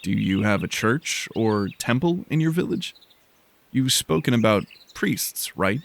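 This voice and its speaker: A man's voice: on the low side, animated, measured pace, creaky. Man acting John, an emphatic, questioning lost explorer. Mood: probing, hesitant. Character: John, frustrated, inquisitive lost male explorer.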